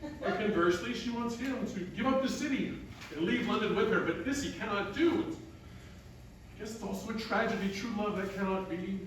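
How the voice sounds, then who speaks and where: A man's voice: sits high, brisk, close to an everyday voice. An actor playing an eager, earnest male playwright who rambles and gets defensive in theatrical comic dialogue. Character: eager male playwright William pitching his earnest tragic play. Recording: roomy echo, distant mic, faint noise.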